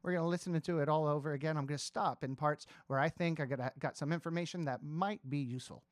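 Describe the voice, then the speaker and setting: A man's voice: a little high, fast, no room sound. American man giving reaction commentary, dry sound. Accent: standard American. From United States, raised in New Jersey. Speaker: singer and vocal coach reacting to auditions.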